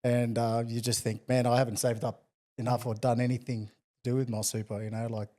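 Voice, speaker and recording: A man with a mellow, dark voice co-hosts in a laid-back manner. His delivery stays level and even, with very little rise and fall. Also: mid-range voice, a little fast. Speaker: co-host. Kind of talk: casual show hosting.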